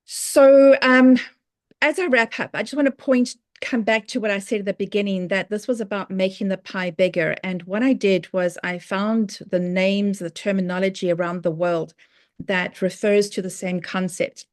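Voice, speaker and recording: A middle-aged South African woman talks through a presentation in an easygoing, conversational way, pausing on fillers and restarting phrases. Her voice sits at an ordinary pitch for a woman. The sound is dry and close, with no room echo. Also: fairly even intonation. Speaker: professional speaker presenting. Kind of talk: online presentation to a speaker chapter.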